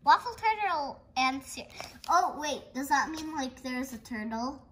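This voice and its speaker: A young girl reading a picture book aloud haltingly, with giggly, exclaiming casual asides. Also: high-pitched, expressive, slight background noise, low-quality recording. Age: child. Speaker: host of a read-along video.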